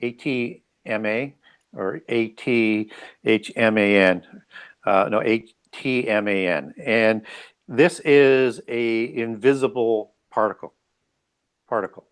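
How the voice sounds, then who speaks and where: Male interview guest, lower-pitched voice, explanatory delivery that feels its way through each point. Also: slow pace, clean tone.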